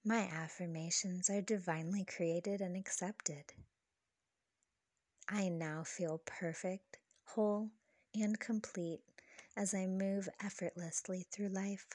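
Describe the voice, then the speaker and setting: A woman leading a scripted guided meditation with affirmations, speaking in a soft, breathy, soothing and measured way. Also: medium pitch, fairly even intonation, no echo, clean studio sound. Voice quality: soft, breathy, partly whispery. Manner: soothing, slow, measured delivery.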